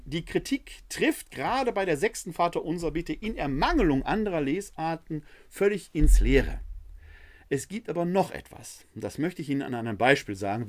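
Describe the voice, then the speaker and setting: A middle-aged man giving a spoken theology lecture, arguing emphatically and counting off his points one by one. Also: a little high.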